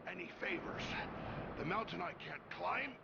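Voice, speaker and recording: A man playing a gruff, stubborn dwarf warrior with a gravelly voice and wry, folksy manner in a fantasy game. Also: sits high, expressive, moderate pace, highs cut off, very noisy, full character voice. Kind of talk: fantasy video game cutscene acting. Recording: loud music behind the voice.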